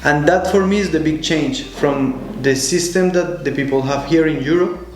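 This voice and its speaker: A young adult Colombian man with a Spanish accent, speaking thoughtfully as an interview guest in halting non-native English. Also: fairly high pitch, slight background noise. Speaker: guest, show jumper. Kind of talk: conversational interview. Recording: echoey room, faint music.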